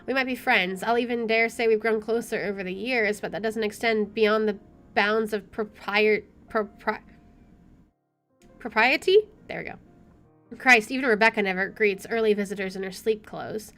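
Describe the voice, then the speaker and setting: A woman's voice: medium pitch, fairly even intonation, moderate pace, a bit bright. A woman let's player reading a visual novel aloud expressively with chatty asides, game music playing behind her.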